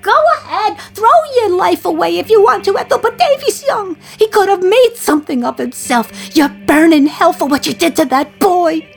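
A woman voicing an older, bitter mother in a radio play who scolds in a shrill, guilt-tripping manner. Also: expressive, moderate pace, creaky, few pauses, soft background music, heavily acted. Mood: scolding.

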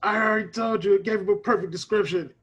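Husky streetwise tipster guy, acted out. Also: mid-range voice, fairly even intonation, quick pace, fairly bright tone, lo-fi sound. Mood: dying, strained. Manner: slangy, tough-talking, grumbling. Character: streetwise, wary small-time male informant named Johnny. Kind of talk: comic old-time radio play acting.

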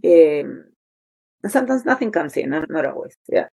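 A woman's voice: deep voice, very animated, normal speed, vocal fry, dark tone, husky. A woman from Chile with a Chilean Spanish accent, a podcast guest talking casually and animatedly, often restarting sentences as she searches for words. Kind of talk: casual conversation.

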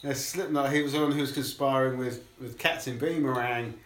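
A man's voice: mid-range voice, steady tone, fairly quick, a little hiss or hum. A man co-hosting a casual podcast, rambling and acting out movie moments in silly voices. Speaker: podcast co-host. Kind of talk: casual chat. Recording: echoey room.

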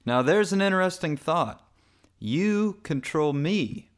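A man's voice: a little high, animated, takes it slowly. A man playing an easygoing, hesitant human musician in a radio drama, with a folksy way of talking. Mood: hesitant, agreeable.

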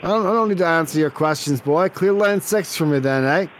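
A man's voice: fairly high pitch, fairly quick, slight background noise, close to an everyday voice. A man playing a cocky, pompous bowling champion with a fake Australian accent on a prank phone call, threatening and repeating himself. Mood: belligerent.

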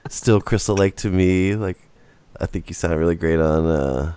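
A man with a very low, deep voice hosting a loose podcast chat. He is relaxed and laughs easily, peppering his talk with "dude" and "man.". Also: moderate pace, smooth. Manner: laid-back, laughs often, says "dude" and "man". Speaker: podcast host.

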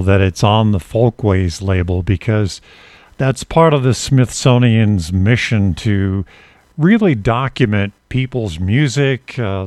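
A man hosting an interview, putting measured questions in a deliberate, formal and wordy manner. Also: on the low side, darkish tone, dry recording. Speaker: interview host.

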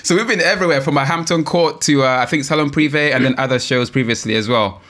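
A man's voice: on the high side, fast, fairly bright tone. Chatty man hosting a casual interview. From Africa. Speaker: host of a car talk show.

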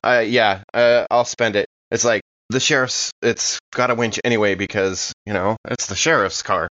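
Low-voiced man, slightly muffled, playing a tabletop game. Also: fairly even intonation, moderate pace, pushed and bright, no echo. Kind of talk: casual improvised role-play, describing own actions.

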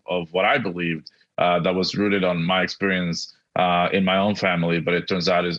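A middle-aged man from Argentina, a native Spanish speaker, giving earnest, halting interview answers over dull, lo-fi audio. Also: deep voice, a bit bright. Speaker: podcast guest, education technology executive.

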